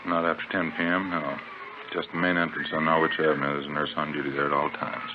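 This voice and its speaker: Very deep-voiced, earnest hospital doctor. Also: male voice, level tone, fast talker. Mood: cooperative, businesslike. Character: earnest, orderly hospital doctor, troubled but cooperative. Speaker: actor in a radio police drama. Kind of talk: old-time radio drama acting. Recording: noisy, muffled old radio recording.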